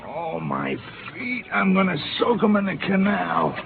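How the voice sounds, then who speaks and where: A man's voice: high voice, expressive, unhurried, gravelly, exaggerated voice. An actor playing a crude, drunken, scornful male crewman with a coarse, sneering manner in a 1950s radio drama; a tinny old broadcast with faint hiss. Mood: grumbling.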